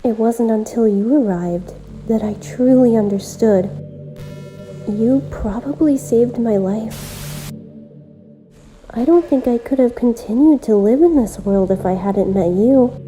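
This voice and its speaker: A lonely, obsessive game girl, acted by a woman, speaks in a highish, very soft and mellow voice over prominent music. She addresses the listener gently and intimately, with sweet menace, like a self-aware visual novel character. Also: unhurried, lightly acted. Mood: wistful, devoted. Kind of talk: visual novel character monologue, amateur voice acting.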